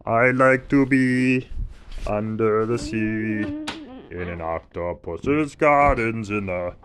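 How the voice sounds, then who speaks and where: A man's voice: mid-range voice, sing-song, a crowd in the background, voice pushed up. A man voicing a happy octopus who sings in a tuneful tenor, for a children's bedtime story. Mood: cheerful singing. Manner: slow, tuneful sing-song.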